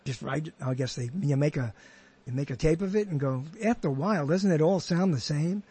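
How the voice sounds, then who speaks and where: A man's voice: on the high side, brisk, warm tone, husky. A man hosting a podcast, chatting casually and rambling with many restarts and half-finished thoughts. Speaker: podcast host. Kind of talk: casual interview chat.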